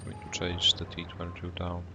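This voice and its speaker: A man from Poland with a Polish accent, whose first language is Polish, calmly commenting on his game for a live stream and greeting viewers in chat. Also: sits low, slight background noise. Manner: calm, unhurried, greets chat viewers between plays.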